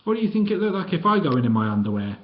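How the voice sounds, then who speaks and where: A man's voice: a little high, very animated, rapid-fire, muffled recording, voice pushed up. A man voicing a huge, jolly old female ex-wrestler with a guttural voice. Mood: hearty.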